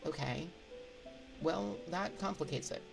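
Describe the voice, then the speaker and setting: Slow talking woman streamer, lots of vocal fry, thinking out loud on a casual asmr stream, music playing in back. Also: lowish voice. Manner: halting, thinking aloud, conversational. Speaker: livestream host. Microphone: close binaural microphone. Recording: background music behind voice.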